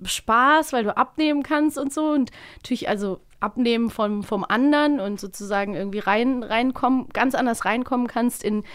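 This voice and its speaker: A woman's voice: a little high, brisk, smooth. A woman chatting casually, often rambling and breaking off her own sentences to rephrase them. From Germany. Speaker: voice actress and podcast co-host. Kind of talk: casual chat.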